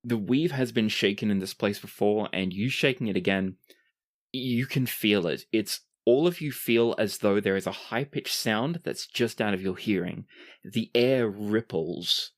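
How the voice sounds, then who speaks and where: A game master runs a tabletop roleplaying game in a mid-pitched voice. The narration is dramatic and descriptive, broken up by chatty, casual asides to the players. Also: a little slow.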